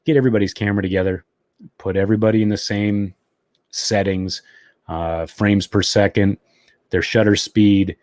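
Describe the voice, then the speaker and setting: Low-voiced easygoing live stream host. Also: male voice, fairly even intonation, normal speed, darkish tone, no echo, clean studio sound. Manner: easygoing and chatty, with fillers. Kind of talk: casual live stream chat. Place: home.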